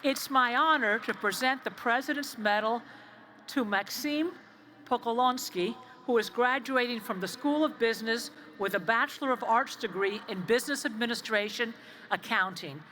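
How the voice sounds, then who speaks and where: A woman's voice: on the high side, pushed and bright, a little hiss or hum. A woman, a university leader, formally presenting a medal at a graduation ceremony. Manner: formal, measured. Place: large outdoor stadium.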